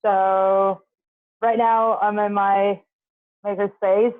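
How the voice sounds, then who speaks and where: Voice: mid-range voice, flat delivery, slow. Hesitant speaker on a tinny call. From Hong Kong. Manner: hesitant, many fillers. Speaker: student at a marine science school. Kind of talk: casual video call introduction. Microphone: video call. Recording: tinny phone-like call audio.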